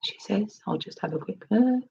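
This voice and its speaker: A woman with a husky voice, recovering from losing it, chatting casually on a livestream, answering her chat with lots of ums and restarts. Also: mid-range voice, expressive, normal speed, a little mellow, lo-fi sound, slight background noise. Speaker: crypto livestream host reading chat.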